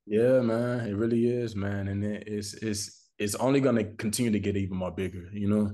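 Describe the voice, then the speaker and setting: American guy from florida, deep-ish laid back mellow voice, slangy chat like an artist being interviewed. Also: steady tone, normal speed. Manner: informal, slangy, repeats phrases for emphasis.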